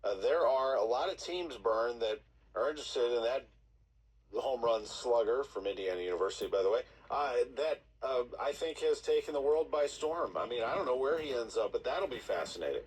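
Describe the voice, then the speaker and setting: Husky man on sports radio. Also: mid-range voice, animated, normal speed, recorded from a distance, low-quality recording. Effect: played through a radio speaker. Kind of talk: sports talk radio commentary.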